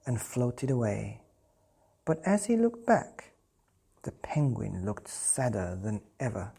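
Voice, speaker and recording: Bedtime story reader for kids, really slow n mellow, kinda breathy husky voice. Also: medium pitch. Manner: addresses children directly with questions. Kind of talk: children's storybook narration.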